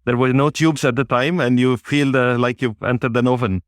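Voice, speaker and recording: Measured man, dry close sound. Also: mid-range voice, fairly even intonation, no echo. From Lebanon. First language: Arabic. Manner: measured, with frequent "uh" pauses. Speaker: guest, business executive. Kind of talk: interview answers.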